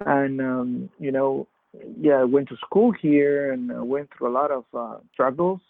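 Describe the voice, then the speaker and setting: A man talks haltingly through his life story, often restarting his sentences. His voice comes through a thin, phone-like line with a dry sound. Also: medium pitch, vocal fry, dry recording. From Peru. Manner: halting, many fillers and restarts. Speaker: podcast guest. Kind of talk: casual testimony. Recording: tinny phone-like remote line.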